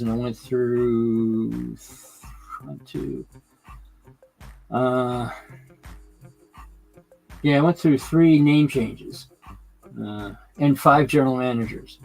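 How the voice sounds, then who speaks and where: A man live streaming his hobby, talking casually and haltingly while he works on a model layout, with lots of fillers and restarts. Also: medium pitch, gravelly, low-quality recording.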